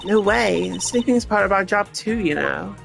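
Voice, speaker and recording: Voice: medium pitch, lively intonation, normal speed, creaky, a bit bright. A performer voicing a ditzy, sleepy, evasive young female flight attendant in a mystery game, airy and casual with feigned innocence. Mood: drowsy, carefree. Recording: game music behind the voice.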